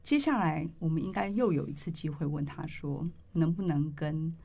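A middle-aged woman with a Taiwanese Mandarin accent hosts a podcast interview, telling stories conversationally in deliberate, halting phrases. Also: deep voice, animated, creaky, warm tone. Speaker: podcast host, publishing editor-in-chief. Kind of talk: conversational interview with reflective storytelling.

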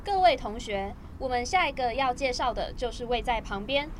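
A woman's voice: a little high, moderate pace, background noise, natural voice. A woman voicing a cheerful tour guide speaking upbeat to a group of students. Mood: guiding.

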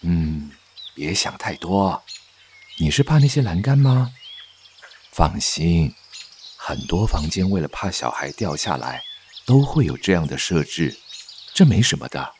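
A man acts as an easygoing doctor in a radio play, a soothing but dismissive big brother. His very low voice swings widely in pitch. There is faint noise behind him. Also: sing-song, unhurried, pauses often, a little mellow. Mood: reassuring, dismissive. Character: affable, dismissive doctor, older brother of the wife. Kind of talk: Mandarin radio drama acting.

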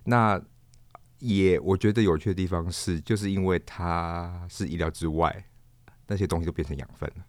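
A man with a Taiwanese accent answering casually as an interview guest, halting and repeating words as he thinks. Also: deep voice, fairly even intonation, darkish tone, no echo. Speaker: interview guest, stage performer.

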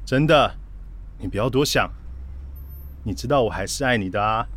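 A man's voice: medium pitch, normal speed, fairly bright tone, close to an everyday voice. An actor playing a placating, evasive boyfriend in his twenties in a radio drama, coaxing in a Taiwanese accent. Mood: sheepish, defensive. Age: 20s. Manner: coaxing, excuse-making.

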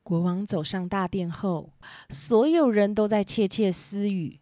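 A woman's voice: medium pitch, measured pace, mellow, no room sound, studio quality. A woman narrating a scripted children's story as its storyteller. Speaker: storyteller and host.

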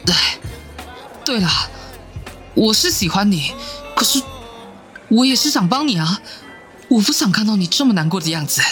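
A man's voice: high voice, very animated, normal speed, pauses often, fairly bright tone, soft background music. An actor in an audio drama playing a shy, earnest young man who speaks hesitantly. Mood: flustered, earnest. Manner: hesitant, stammering. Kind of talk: modern Mandarin audio drama acting.

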